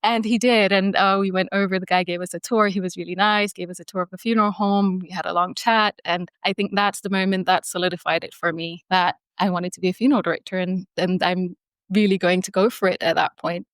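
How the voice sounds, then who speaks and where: A woman from Jamaica tells her story as a podcast guest, relaxed and reflective, laughing now and then. Her voice is smooth and resonant. The sound is close and dry, with no room around it. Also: on the low side, fairly quick, no room sound. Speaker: funeral director and embalmer, guest. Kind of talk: conversational interview storytelling.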